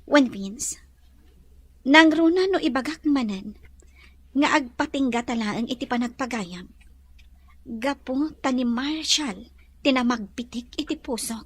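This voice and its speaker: A woman's voice: high voice, lively intonation, a little slow, pauses often, husky, no echo, full character voice. A woman playing a well-off young madam in a romantic Ilocano audio drama. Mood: gentle, apologetic.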